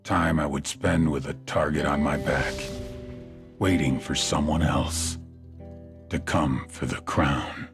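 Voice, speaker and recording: A brooding drug lord from a video game. His voice sits extremely low and he talks in solemn, deliberate phrases, like a fallen king explaining himself. Music plays clearly beneath him. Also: steady tone, full character voice. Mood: bitter, brooding. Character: brooding male drug cartel boss, grandiose and self-mythologizing. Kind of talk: dramatic monologue in a video game cinematic. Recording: music under the voice.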